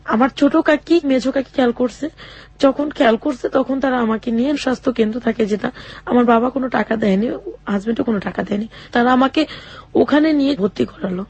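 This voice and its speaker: A young woman telling her own life story in an interview, speaking haltingly with many fillers and restarts. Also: on the high side, darkish tone, slightly rough, no room sound, dull audio. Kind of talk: personal testimony in a radio interview.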